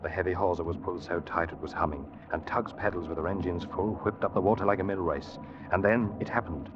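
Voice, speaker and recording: Male actor as grave ship's officer, lowish voice, dramatic storyteller, old radio drama, dull vintage recording. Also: fairly even intonation, fast, close to an everyday voice. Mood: tense narration. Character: grave, loyal ship's officer recalling a haunted voyage. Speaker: radio actor, first-person narrator.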